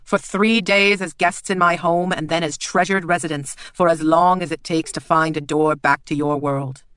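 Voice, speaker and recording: An audiobook narrator voicing a smug, sneering lord who speaks slowly and loudly with false charm. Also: medium pitch, fairly even intonation, clear, resonant tone, dry recording, lightly acted. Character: smug, sneering lordly man, host of a castle.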